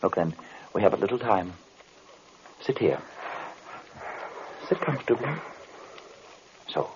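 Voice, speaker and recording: An actor playing a solemn, commanding magician in old-time radio drama style, speaking in an incantatory way. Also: male voice, lowish voice, expressive, takes it slowly, highs cut off, noisy background. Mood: hushed, coaxing. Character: commanding male magician, mesmerist with paternal menace.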